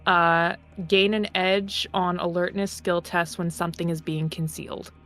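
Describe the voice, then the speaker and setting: A woman's voice: lowish voice, steady tone, a little slow, a bit bright. A woman playing a tabletop roleplaying game, talking casually and playfully as one of the players. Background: music under the voice.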